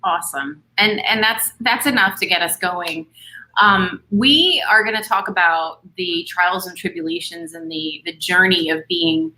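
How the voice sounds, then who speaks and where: Female series host, earnest and upbeat, slightly low voice with a bright edge. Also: measured pace, a little hiss or hum, low-quality recording. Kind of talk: interview on a video series.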